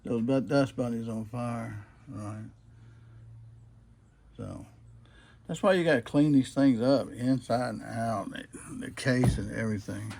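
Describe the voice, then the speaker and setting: A man with a husky voice gives a casual, unscripted demonstration, explaining things in a plain-spoken, halting way. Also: mid-range voice, a little mellow. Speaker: presenter showing a restored old radio.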